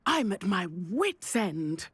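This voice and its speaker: An actress playing an indignant, fretful village shopkeeper in a video game, speaking in a formal, emphatic way. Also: female voice, medium pitch, very animated, takes it slowly, a bit bright, husky, heavily acted. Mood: indignant, exasperated. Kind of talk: video game cutscene acting.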